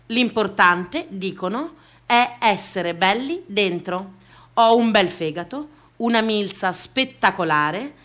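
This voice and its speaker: A woman's voice: medium pitch, fairly bright tone. Woman, monologue performer, wry and conversational, comic confessional delivery.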